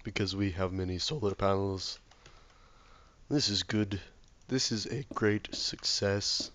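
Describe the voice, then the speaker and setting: A man with a sore throat and a slightly hoarse voice, casually commentating his game while he builds, mumbling and thinking aloud to himself. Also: lowish voice, slow pace, a bit bright. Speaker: let's play gamer.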